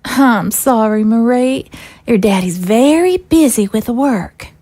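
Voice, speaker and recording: Actress as nervous sweet mom, kinda high voice, talks slow, audio drama. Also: female voice, expressive, dark tone. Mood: worried. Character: anxious, gentle young mother, a leader's wife.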